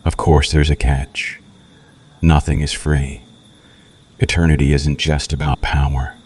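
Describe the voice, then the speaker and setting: Male actor as a vampire, extremely low voice, unhurried, menacing, seductive, brooding, dramatic pauses, ASMR roleplay. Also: level tone, darkish tone. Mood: ominous, tempting. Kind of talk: slow ASMR roleplay monologue.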